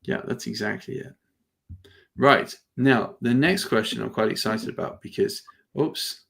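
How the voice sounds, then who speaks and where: A man presents an informal live product demo with noticeable vocal fry. His delivery is halting and conversational, as he thinks aloud while he explains things to attendees. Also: lowish voice, fairly bright tone. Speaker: webinar presenter demonstrating software.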